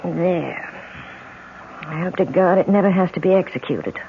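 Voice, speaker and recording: A woman's voice: fairly low pitch, normal speed, natural voice. A woman acting a haunted, anxious wife in a 1970s radio drama, speaking in a fretful, dramatic way. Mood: weary, uneasy. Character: haunted, anxious wife seeing a headless ghost. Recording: dull, faintly noisy old radio broadcast.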